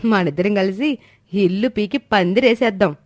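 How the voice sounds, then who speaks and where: A woman's voice: medium pitch, normal speed, darkish tone, dry recording, studio quality, lightly acted. A woman voicing mischievous young women of a village family in dramatic, chatty story dialogue. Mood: reassuring, playful. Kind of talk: animated moral story dialogue.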